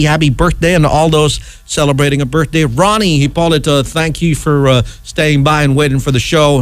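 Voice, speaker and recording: A middle-aged man hosting a radio chart countdown in a casual, ad-libbed way, rambling and halting, often checking in with an "okay". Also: on the high side, no room sound. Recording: music playing behind close, dry voice. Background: music.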